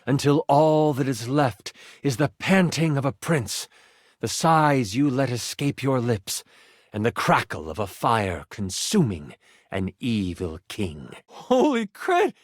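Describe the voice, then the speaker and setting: A man's voice: mid-range voice, big pitch swings, no room sound, clean studio sound. An actor playing a game master in a video game, a man narrating a tabletop fantasy adventure with theatrical, suspenseful timing. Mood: suspenseful, excited. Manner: theatrical, suspenseful storytelling with weighted pauses. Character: living twenty-sided die, dramatic male game master.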